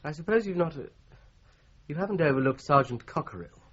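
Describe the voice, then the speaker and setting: A man playing a cautious, questioning colleague in a radio drama, measured and doubtful. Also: a little high, animated, a bit bright, husky. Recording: slightly dull radio drama recording.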